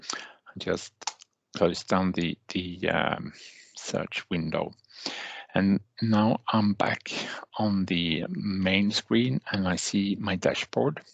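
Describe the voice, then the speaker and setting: A man narrating a step-by-step software tutorial as a trainer, with halting, deliberate phrasing and hesitant pauses. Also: low voice, creaky, dry recording.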